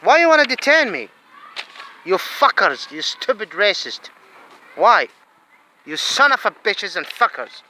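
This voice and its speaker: A man with a Saudi Arabian accent rants in a monologue to camera. His voice sits high for a man and sounds very sharp and tense. He drops to low muttering, then bursts out loudly. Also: big pitch swings, measured pace, long pauses, rough recording. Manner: agitated, swings between low muttering and loud outbursts.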